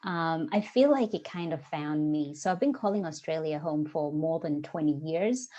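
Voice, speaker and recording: A Kenyan woman in her forties speaks as a guest, her voice pitched a little low. She talks reflectively, pausing and filling gaps with ums as she gathers her thoughts. The audio is dull and lo-fi. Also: expressive, dark tone. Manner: reflective, searching, with frequent ums. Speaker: guest, diversity coach. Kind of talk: casual interview talk.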